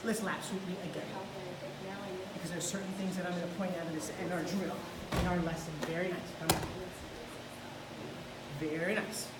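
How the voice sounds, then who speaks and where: A woman's voice: fairly low pitch, fairly even intonation, a little fast, long pauses. A woman jiu-jitsu instructor coaching a student step by step while grappling on the mat. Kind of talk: hands-on technique teaching. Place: large indoor gym with mats. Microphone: camera far across the room. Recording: echoey gym from far off, noisy.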